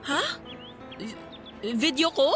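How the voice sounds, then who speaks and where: A firm, guarded young student in a radio drama. Her voice is high and her delivery curt and emphatic, over clear background music. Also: takes it slowly, a bit bright. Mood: puzzled, anxious. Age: young adult.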